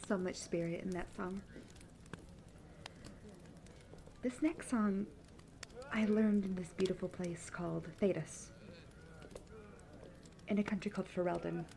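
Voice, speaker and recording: A woman's voice: fairly low pitch, fairly even intonation, creaky, dark tone, a little hiss or hum. A young woman playing a peppy traveling bard in a fantasy audio roleplay, telling tales earnestly and fondly without hurry. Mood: fond, wistful. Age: young adult. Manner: earnest, fond, unhurried storytelling.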